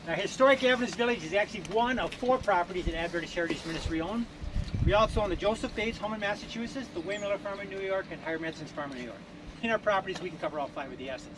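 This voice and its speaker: A man leads a tour group through a historic building, telling its stories quickly in a folksy, joking way. His voice sits a little high. It sounds roomy and distant, with noise behind him. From Michigan, USA. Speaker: tour guide and village director. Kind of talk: guided heritage tour talk. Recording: roomy echo, far from the mic, noise behind.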